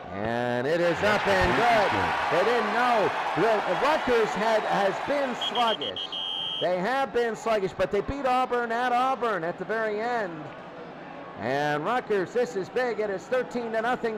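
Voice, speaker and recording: High-pitched male football announcer over loud noise. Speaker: play-by-play sports announcer. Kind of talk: American football radio commentary. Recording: loud steady noise behind the voice.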